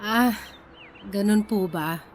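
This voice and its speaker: A woman's voice: medium pitch, slow pace, a little hiss or hum, natural voice. A woman playing a resentful young wife and mother in a Filipino radio drama, polite but needling, with a Filipino accent. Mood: feigned innocence. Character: resentful young wife and mother, sarcastically sweet then confrontational.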